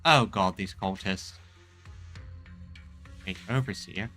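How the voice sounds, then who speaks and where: A man's voice: sits low, takes it slowly, pushed and bright. A man giving casual game commentary with wry, amused asides while game music plays. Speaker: game streamer. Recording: faint game music behind. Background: faint game music.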